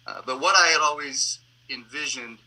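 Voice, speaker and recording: A man answering interview questions on a video call as a theater director, explaining things in a halting, reflective way. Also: medium pitch, bright, forceful tone, poor audio. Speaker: theater artistic director and actor, panel guest. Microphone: video call microphone.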